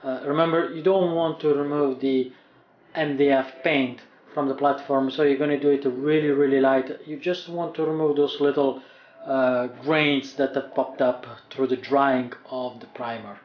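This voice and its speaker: A man's voice: medium pitch, steady tone, moderate pace, recorded from a distance, highs cut off, a little hiss or hum. A man in his thirties, a home cockpit builder, explaining each step of a DIY job as he works. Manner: explains steps plainly as he works. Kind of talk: DIY tutorial narration. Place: indoor room at home. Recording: roomy echo, voice off-mic.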